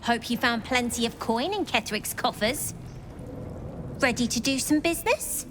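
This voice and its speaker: A woman's voice: on the high side, lively intonation, moderate pace, close to an everyday voice. An actress playing a brash, ambitious young female thief who leads a street gang. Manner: cocky, brash, street-smart. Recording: game audio with noise behind.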